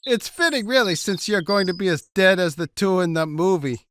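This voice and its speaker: A man's voice: sits high, expressive, normal speed. A man playing a cocky, mocking gangster captive in a noir audio drama, with car noise behind. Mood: sardonic.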